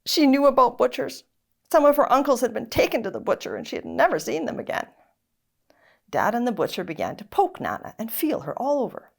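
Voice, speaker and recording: A woman reading a children's story aloud in an expressive, dramatic narrating style. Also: fairly high pitch, brisk, vocal fry. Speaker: story reader.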